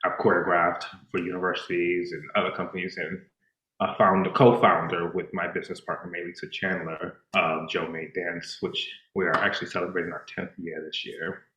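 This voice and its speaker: Guest, male, deep voice, Spanish-speaking upbringing, thoughtful, lots of ums, roomy echo. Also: level tone, fairly quick, bright, forceful tone. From Dominican. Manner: reflective, many fillers and restarts. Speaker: dancer and choreographer, podcast guest. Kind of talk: casual interview chat.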